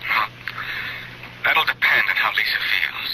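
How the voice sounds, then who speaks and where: An actor playing an easily swayed, flustered ordinary man with a halting delivery in a 1970s radio mystery. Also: fairly low pitch, expressive. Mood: apologetic. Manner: hesitant, halting speech. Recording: noisy 1970s radio drama recording.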